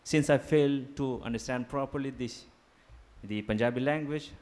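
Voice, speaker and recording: A man whose first language is Urdu, giving a formal seminar lecture in a deliberate academic manner, pausing with fillers. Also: mid-range voice, a little mellow. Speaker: guest lecturer. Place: seminar hall.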